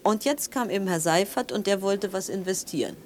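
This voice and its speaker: An actress playing an opinionated sister in a naturalistic audio drama, talking chattily with halting restarts and tag questions. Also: female voice, mid-range voice, slight background noise, close to an everyday voice. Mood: dismissive gossip. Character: animated, opinionated sister of a wealthy man, accusing.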